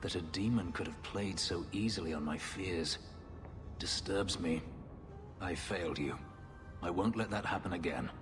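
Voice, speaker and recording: A man's voice: low voice, normal speed, lightly acted. A man playing a proud, brooding warrior companion in a video game, grave and formal in speech. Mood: grave, contrite. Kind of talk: video game cutscene acting. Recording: long reverb, music behind.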